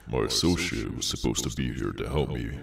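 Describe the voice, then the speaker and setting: A man voicing a weary, menacing demonic henchman in an ASMR audio roleplay, sardonic and low-key, with a gravelly voice. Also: exaggerated voice. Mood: sinister, sardonic. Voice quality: very deep, gravelly, creaky. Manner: slow, low-key, casually sinister, sardonic.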